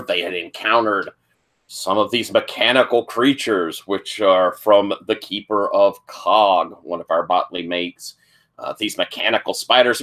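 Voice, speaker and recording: A hoarse, slightly sniffly man serves as game master for a tabletop roleplaying game. He talks casually and rambles, stopping often on fillers. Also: lowish voice, a bit bright. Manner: rambling, halting with many fillers.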